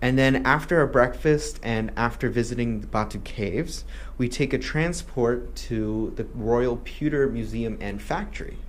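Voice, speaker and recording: A man's voice: medium pitch, a little slow, a little hiss or hum. Friendly man explaining a tour. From Malaysia. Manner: friendly explaining, with a few restarts. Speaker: tour company host. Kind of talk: promotional Q&A.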